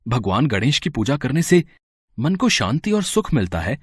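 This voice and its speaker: A man as a humble, expressive devout father. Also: medium pitch, normal speed, husky, no echo, clean studio sound. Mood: gentle. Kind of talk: devotional storybook acting.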